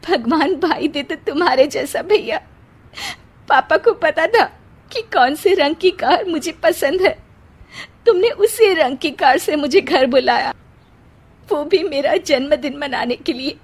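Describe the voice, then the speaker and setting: A woman voicing a gentle, wistful married woman visiting her childhood home in a cartoon story. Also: high-pitched, a little slow, pitched above the performer's own voice. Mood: moved, grateful.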